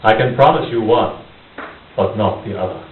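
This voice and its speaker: A man acting a menacing, courteous criminal mastermind in radio theater, speaking in a formal, deliberate, coldly polite way. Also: fairly low pitch, close to an everyday voice. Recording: tinny, hissy, roomy, distant microphone.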